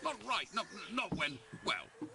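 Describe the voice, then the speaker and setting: A man's voice: high voice, huge pitch swings, unhurried, exaggerated voice. A man voicing a blustering, weary British king and worried father in a cartoon game, hesitant and stammering. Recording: loud music over the dialogue.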